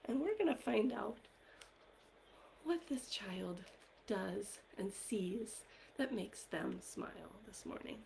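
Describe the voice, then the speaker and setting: A woman hosting a live online story time for young children, speaking directly to them in an encouraging, gently questioning way. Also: medium pitch, lively intonation, takes it slowly, creaky, slight background noise, rough recording. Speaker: library story time host.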